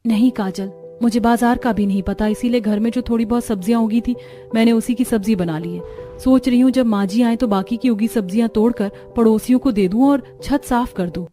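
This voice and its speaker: A woman voicing a gentle, dutiful young village daughter-in-law in a cartoon story, polite and earnest. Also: a little high, brisk, faint music behind, close to an everyday voice. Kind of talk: cartoon moral story dialogue.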